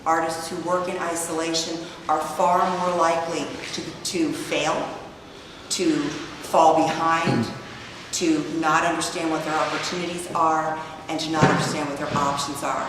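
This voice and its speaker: A hoarse woman presenting a business workshop in an open, inviting way, sometimes trailing off and restarting. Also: low voice, level tone, normal speed. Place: event hall in an arts building. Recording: echoey hall, mic far off, background noise.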